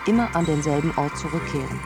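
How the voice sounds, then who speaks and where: A man's voice: on the high side, expressive, fast talker, vocal fry. An actor playing a frantic, overworked businessman with a failing memory, speaking urgently and repetitively in a radio drama. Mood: detached. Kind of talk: radio drama, choral narration and inner voice. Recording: music behind the voice.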